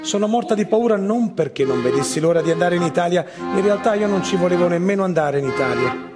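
High-voiced man in a dramatic reading. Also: expressive, fast. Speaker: narrator in a theatre piece. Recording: voice over accordion music.

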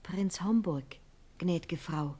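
An actress playing a deferential, flustered court attendant in a verse radio drama, formal and hushed with a breathy voice. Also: female voice, lowish voice, slow. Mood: anxious, hushed.

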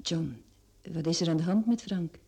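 Mellow woman, world-weary and proud. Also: low-pitched, normal speed. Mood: worried. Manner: resigned, frank. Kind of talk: radio play acting.

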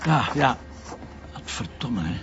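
An actor playing an impatient, sardonic police commissioner with a Flemish accent, brusque and pressing in a TV detective drama. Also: male voice, medium pitch, expressive, takes it slowly, a bit bright. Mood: startled, suspicious. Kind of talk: naturalistic TV detective drama dialogue. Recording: muffled distant sound with background noise.

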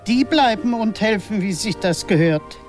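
A woman's voice: medium pitch, expressive, normal speed, darkish tone, faint music behind, close to an everyday voice. An actress playing a motherly, decisive older woman agent in a German radio play. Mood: brisk, bossy.

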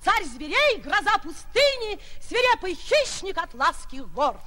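An actress playing a flamboyant menagerie owner with grandiose fairground patter, in 1940s Russian radio theatre style. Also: female voice, extremely high pitch, sing-song, measured pace, bright, forceful tone, full character voice. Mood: grand showmanship. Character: brassy stout menagerie owner, flamboyant showwoman. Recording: old 1940s radio recording.

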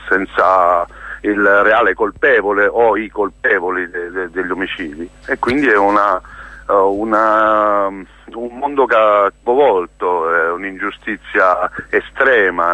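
A man speaking as a theatre guest in a radio interview, telling stories haltingly and repeating words emphatically as he searches for them. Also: fairly low pitch, dry recording, phone-quality sound. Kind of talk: spoken radio interview, storytelling.

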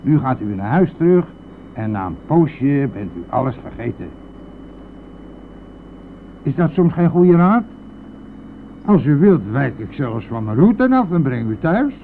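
Radio play role: evasive, cajoling bus driver, creaky voice, dismissive bluster; thin old-recording sound. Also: male voice, medium pitch, sing-song, a little slow, pauses often, phone-quality sound, a little hiss or hum. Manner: blustering, offhand, wheedling.

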